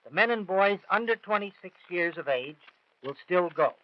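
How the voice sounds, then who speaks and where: A man's voice: high-pitched, animated, moderate pace, dry recording. An American man reading news items as a 1940s radio reporter. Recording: dull vintage 1940s radio broadcast.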